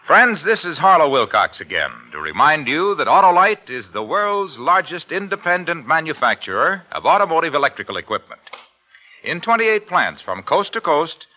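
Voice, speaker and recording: A man's voice: on the high side, big pitch swings, normal speed, bright, forceful tone. A man reading scripted copy as a commercial and credits announcer in 1950s radio style. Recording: muffled old radio recording, music behind.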